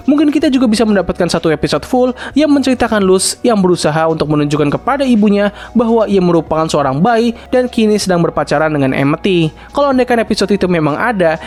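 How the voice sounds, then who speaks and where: A man's voice: high-pitched, a little mellow. A young adult man with an Indonesian accent narrating a recap review in an informal, conversational, explanatory way. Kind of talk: casual scripted recap commentary.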